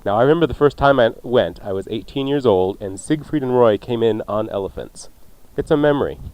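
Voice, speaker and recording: A male radio host in easy, joking chat. His voice sits at a medium pitch and is recorded very dry, as in a studio. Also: expressive, fairly quick, a little mellow, no room sound, rough recording. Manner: jokey, chatty.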